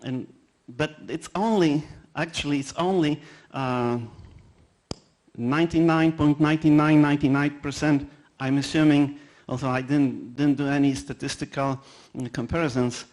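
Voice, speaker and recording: A man with a Polish accent, a native Polish speaker, giving a conference talk in a halting way, with many fillers and restarts and self-deprecating anecdotes. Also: on the high side, steady tone, darkish tone. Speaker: conference presenter.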